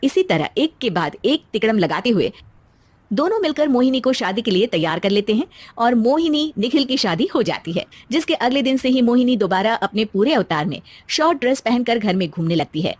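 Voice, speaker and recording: A woman's voice: fairly high pitch, sing-song, fairly quick. A woman narrating a scripted story as its storyteller.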